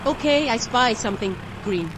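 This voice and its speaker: A woman playing a protective, gently teasing mother, firm but affectionate, in a radio drama. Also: on the high side, animated, moderate pace, close to an everyday voice. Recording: noisy car and outdoor ambience.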